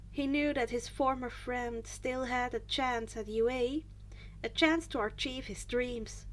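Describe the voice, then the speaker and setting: A woman with a fairly high voice reads a story aloud. She sounds like an amateur audiobook narrator working from a script, now and then stumbling and picking a phrase up again. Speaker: fan fiction audiobook narrator.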